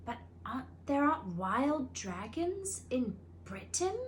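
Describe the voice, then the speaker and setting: A woman's voice: high-pitched, very animated, takes it slowly, a little hiss or hum, pitched above the performer's own voice, heavily acted. A woman voicing a polite, curious, earnest schoolboy from a storybook in a plain, inquiring way.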